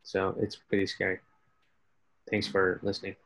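Low, flat, gravelly man. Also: moderate pace. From born in Iraq, grew up as a refugee in several countries. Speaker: workshop participant. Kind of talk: personal testimony. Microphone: video call.

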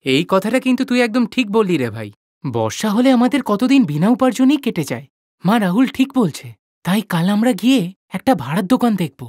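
A woman voicing a responsible, agreeable elder son in an animated moral story, earnest and emphatic. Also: medium pitch, brisk, dry recording, studio quality. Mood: agreeing, decisive.

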